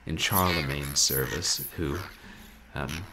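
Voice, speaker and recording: Deep-voiced man chatting on a livestream. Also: takes it slowly, crowd noise. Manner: animated, wry, rambling. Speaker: game streamer. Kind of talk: casual livestream chat. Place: small room at home, indoors.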